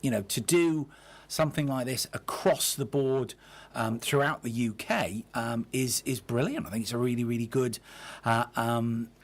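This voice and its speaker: A man giving conversational radio interview answers in halting phrases, often correcting himself. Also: mid-range voice, steady tone.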